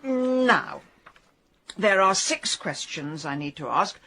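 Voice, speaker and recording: Female survey taker, bright voice, animated pitch, polite, probing, radio drama. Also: slow, full character voice. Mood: brisk, coaxing. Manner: polite, crisp questioning. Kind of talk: radio comedy-mystery drama acting.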